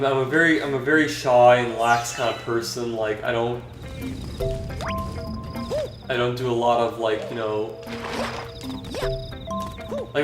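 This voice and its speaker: A young man with a dry, flat-sounding voice talks in halting, rambling bits, full of restarts and fillers, while he plays a video game. His voice sits at an ordinary middle pitch. It rings in an echoey room, with game music clearly playing behind him. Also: far from the mic. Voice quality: dry monotone voice. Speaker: vlogger.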